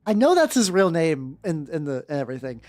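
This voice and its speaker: A woman's voice: sits low, sing-song, brisk, darkish tone, dry recording. A woman VTuber streamer doing a comic fanfiction reading with playful, irreverent, chatty asides. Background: background music.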